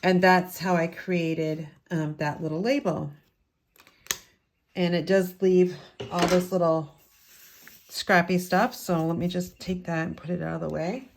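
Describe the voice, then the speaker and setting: A woman with a slightly low, raspy voice, still getting over a head cold. She talks casually and explains each step of a craft tutorial as she works. She is from the United States. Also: fairly even intonation, a little slow, slight background noise. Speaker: card-making instructor. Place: home craft room with open windows.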